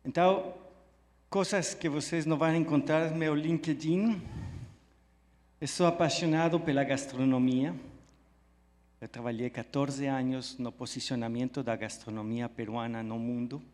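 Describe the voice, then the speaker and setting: A man's voice: medium pitch, fairly even intonation, normal speed, darkish tone. A Belgian man, a native French speaker, giving a friendly, enthusiastic conference talk in Portuguese mixed with Spanish. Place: large hall at a live event. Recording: echoey hall sound.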